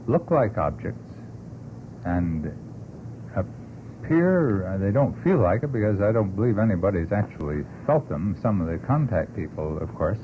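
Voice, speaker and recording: A man speaking as a radio panelist in a measured, explanatory way, unscripted, on an old broadcast. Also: low voice, phone-quality sound, background noise. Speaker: talk show panelist.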